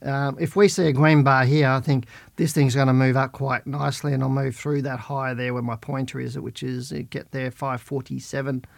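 Croaky man with a head cold. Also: medium pitch, little pitch movement, moderate pace, no room sound, studio quality. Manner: chatty, plain-spoken, talks while reading charts. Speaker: podcast host answering listener questions. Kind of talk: casual market commentary.

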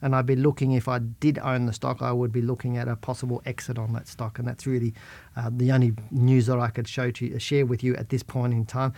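Croaky man with a head cold. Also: mid-range voice, little pitch movement, brisk, darkish tone, dry recording. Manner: chatty, plain-spoken, talks while reading charts. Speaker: podcast host answering listener questions. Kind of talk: casual market commentary.

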